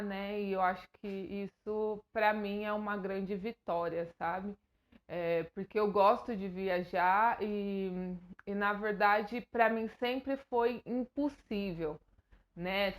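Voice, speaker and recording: Brazilian woman vlogger, earnest and halting, mid-range voice, lots of fillers. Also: medium pitch. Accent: Brazilian Portuguese. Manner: hesitant, many fillers and restarts; earnest, emotional. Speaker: YouTuber. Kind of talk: personal vlog to camera.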